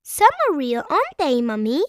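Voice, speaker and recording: A woman's voice: high voice, wildly swooping pitch, moderate pace, no room sound, studio quality. A woman voicing a confident, bubbly little girl piglet in a children's storybook. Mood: reassuring, eager. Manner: bubbly, eager.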